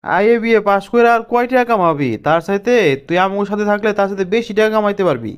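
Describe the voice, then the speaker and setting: A scheming local party organizer from a cartoon, voiced by a man. He has a fairly high, clear voice and speaks colloquial Bangladeshi in a pushy way. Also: animated, fast talker, dull audio. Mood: bossy. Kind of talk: cartoon dialogue.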